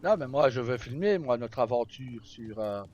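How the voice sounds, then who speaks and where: A man's voice: mid-range voice, expressive, normal speed, a little mellow, muffled recording. A man giving casual commentary as he plays a video game on a live stream.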